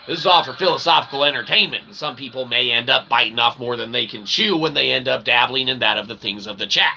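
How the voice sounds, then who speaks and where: A man's voice: medium pitch, fast talker. A man hosting a live stream in a rambling, wordy, mock-formal way, often checking in with short tag questions. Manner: wordy, pseudo-formal phrasing with frequent "okay?" tags. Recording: clear background noise, room ambience.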